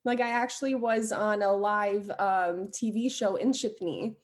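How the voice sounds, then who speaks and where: A woman's voice: medium pitch, fairly even intonation, unhurried. A young American woman, a podcast guest, telling stories about her childhood in an upbeat, chatty way. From United States. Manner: upbeat, laughs often while telling stories. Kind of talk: casual interview chat.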